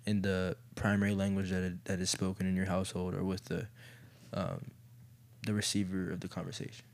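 A man's voice: deep voice, flat delivery, brisk, gravelly, darkish tone. A young Kenyan man co-hosting a podcast, chatting casually and supportively. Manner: supportive, frequent short affirmations. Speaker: podcast co-host. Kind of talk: casual conversational chat.

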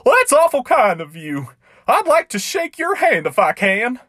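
A man voicing a small, tearful male clown outlaw in a very high, sputtering, pleading voice. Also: huge pitch swings, moderate pace, sharp tone, husky, dry recording, studio quality, full character voice. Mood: sweet.

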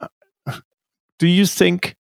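A man's voice: slow, no echo, clean studio sound. A man hosting an interview, asking long, thoughtful questions that wind as he goes. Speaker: interviewer, host. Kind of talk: conversational interview.

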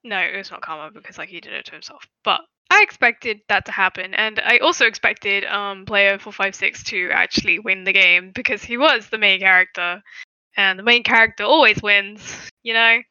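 A woman's voice: mid-range voice, normal speed, sharp tone, clean tone, no echo. A woman co-hosting a podcast, chatting casually about a TV series and stammering through her thoughts. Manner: stammering, hesitant, many fillers. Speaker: podcast co-host. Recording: dull lo-fi dry recording.

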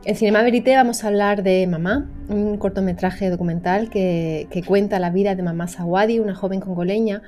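A woman co-hosting a podcast, reading a measured scripted introduction and asking the guest questions. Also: medium pitch, steady tone. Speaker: podcast co-host. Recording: soft music underneath.

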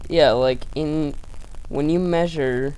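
A woman in casual voice chat, halting and wry, throwing out trivia facts with many restarts. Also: low-pitched, dark tone, clean tone. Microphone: headset or desk mic over voice chat. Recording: faint crackly mic noise.

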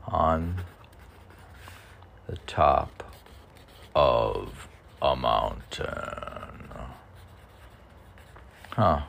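A man talking casually and haltingly, musing and muttering to himself as he reads aloud. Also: rumbling low, animated, vocal fry, fairly bright tone, a little hiss or hum. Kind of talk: casual rambling talk while reading poems aloud.

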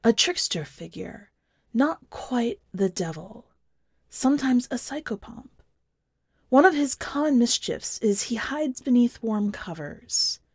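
A woman reads a literary short story in a measured, deliberate and expressive way, sometimes dropping into a hushed voice. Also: a little high, slightly rough, no room sound, studio quality. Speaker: podcast editor and story reader.

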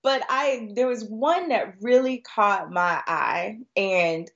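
A woman's voice: medium pitch, takes it slowly, vocal fry, a bit bright, muffled recording. An American woman answering conversationally as an expert guest on a podcast, chatty and inclined to act out short quotes. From Florida, United States.

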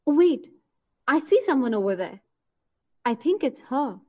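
An actress playing a woman hunter who stalks her target and marvels at winged creatures, thinking aloud in deliberate short phrases in an audio drama. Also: high-pitched, very animated, warm tone. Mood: hushed, watchful. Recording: dull lo-fi recording.

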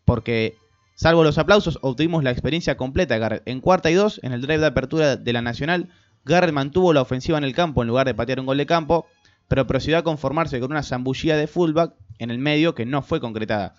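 Argentine male podcast co-host, steady stat-reading delivery, mid pitch, muffled recording. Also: fast, faint music behind. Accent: Argentine Spanish. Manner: lists numbers steadily with many fillers. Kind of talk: casual sports chat reading stats.

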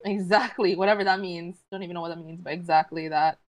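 A woman co-hosting a podcast in casual chat, telling stories excitedly and saying "like" a lot. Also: lowish voice, quick pace, no room sound. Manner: excitable, animated storyteller, lots of "like". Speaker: podcast co-host.

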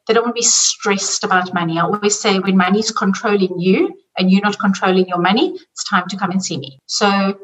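A woman's voice: medium pitch, brisk, few pauses, fairly bright tone. A middle-aged woman with a South African accent, answering interview questions conversationally as a podcast guest. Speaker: podcast guest, money coach. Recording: distant, off-mic voice.

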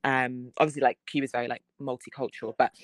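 A woman's voice: extremely low pitch, flat delivery, fast talker, no room sound, studio quality. A young woman guest telling travel stories in casual chat, laughing often. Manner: laughs often, chatty storytelling.

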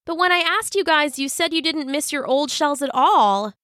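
A woman's voice: high voice, lively intonation, normal speed, a bit bright, no echo, studio quality, pitched above the performer's own voice. A woman voicing a young, sensitive male hermit crab in an earnest, plaintive way for a children's story. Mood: embarrassed.

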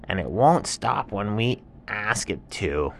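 A man's voice: on the low side, animated, takes it slowly, creaky, a bit bright, slight background noise, heavily acted. A man voicing a chorus of fed-up little jungle animals in a bedtime story, pleading and whiny.

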